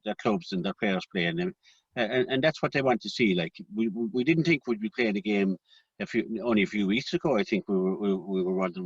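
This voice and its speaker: An Irish man on a sports panel, halting and repeating words, recorded dully from a distance. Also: fairly low pitch, slightly rough, no echo. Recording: distant, dull lo-fi audio.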